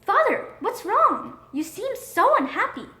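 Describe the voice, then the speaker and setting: Storybook role: sweet little princess, very high, plaintive and upset played by a woman narrator, roomy echo. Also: big pitch swings, measured pace, sharp tone, heavily acted. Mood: worried. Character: young princess daughter, sweet, tearful and upset. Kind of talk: children's storybook character voice.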